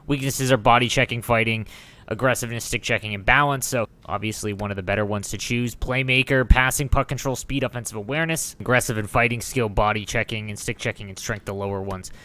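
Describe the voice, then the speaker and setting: Bright-voiced man doing gameplay commentary. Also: medium pitch, fairly even intonation, fast talker. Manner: enthusiastic, informal. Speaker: gaming channel host.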